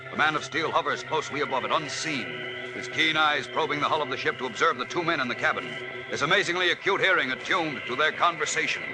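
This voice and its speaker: A man's voice: a little high, fast, music in the background, low-quality recording. A man narrating a 1940s radio serial in a grave, dramatic, suspenseful scripted style. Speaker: narrator, announcer. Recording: old radio recording.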